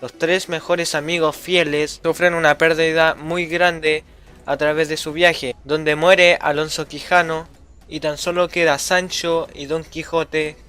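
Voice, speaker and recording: A man with a fairly high voice reads a scripted story summary as narrator. Soft music plays behind him. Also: flat delivery, a little slow, smooth. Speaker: narrator of a student book summary.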